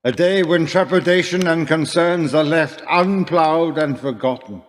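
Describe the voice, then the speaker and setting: A man's voice: a little high, unhurried, natural voice. A man playing a genial, ceremonious medieval nobleman, giving grandiose, archaic oratory to banquet guests in a radio play.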